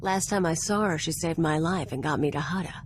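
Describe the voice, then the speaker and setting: An actress playing a brash, streetwise gunslinger in a video game, talking in a casual, clipped, cocky way. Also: female voice, low-pitched, brisk, slight background noise, natural voice. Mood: determined, casual. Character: brash, streetwise female outlaw gunslinger companion. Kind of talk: conversational video game acting.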